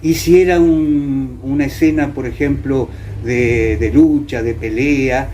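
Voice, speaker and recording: A man's voice: medium pitch, fairly even intonation, slow pace, dark tone, a little hiss or hum. An Argentine man, middle-aged or older, explaining and reminiscing in an interview with hesitant phrasing and frequent tag questions. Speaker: interviewee, former radio actor.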